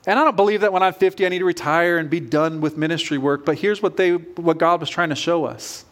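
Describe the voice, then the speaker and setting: A man's voice: fairly high pitch, quick pace. A man in his forties preaching a sermon as a pastor in an informal, conversational storytelling manner. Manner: informal, self-questioning storytelling.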